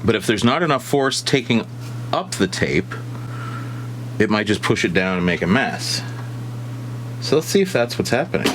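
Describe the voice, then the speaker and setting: A man thinking aloud in casual, halting, playful commentary while he works hands-on with a machine indoors. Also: fairly low pitch, expressive. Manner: halting, muttering to himself, playful. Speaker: vlogger repairing a tape deck. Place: indoors at a repair bench. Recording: clear machine hum and whir.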